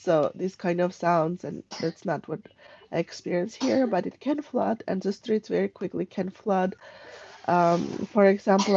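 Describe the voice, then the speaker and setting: A middle-aged woman from Armenia, hosting a casual live stream from home and chatting in a halting, rambling, conversational way. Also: on the low side, dark tone, lo-fi sound, slight background noise. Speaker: live stream host, travel tips. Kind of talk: casual unscripted livestream chat. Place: at home, indoors.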